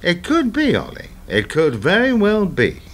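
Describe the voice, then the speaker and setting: A man acts out a middle-aged fixer in a radio play, smooth and menacing. He speaks with theatrical deliberation and sardonic condescension, his pitch swinging widely as he controls those around him. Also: wildly swooping pitch, unhurried, a bit bright, heavily acted. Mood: smug, menacing.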